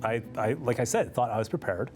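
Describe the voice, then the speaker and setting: A man telling a personal story as an interview guest, speaking in a reflective, measured way with pauses. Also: medium pitch, lively intonation, music in the background. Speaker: interview guest, transplant patient.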